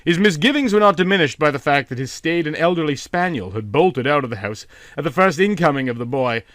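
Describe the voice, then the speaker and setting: A man's voice: a little high, expressive, brisk, a bit bright, no echo, studio quality. A man reading a literary short story aloud as an audiobook narrator.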